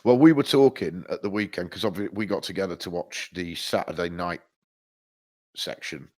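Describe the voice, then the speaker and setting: A man with a low, deep voice, stuffed up from hay fever and chatting loosely as a podcast co-host. He wanders through his points, restarting sentences and swearing casually. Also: lively intonation, moderate pace, darkish tone. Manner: rambling, swears freely, many restarts. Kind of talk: casual chat.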